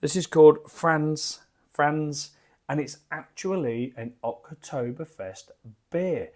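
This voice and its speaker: A man with a croaky voice from a lingering cough, rambling in a chatty, self-deprecating way as he reviews beer at his home bar into a desk microphone. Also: on the high side, slow, a little mellow.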